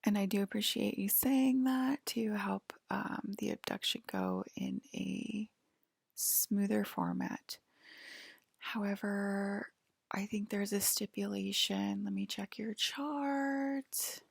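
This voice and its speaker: A woman performs a hushed ASMR roleplay in a breathy, whispery voice with very heavy creak. She speaks slowly and haltingly, soothing the listener like a patient clerk. The sound is close and dry. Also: mid-range voice, expressive, dry recording.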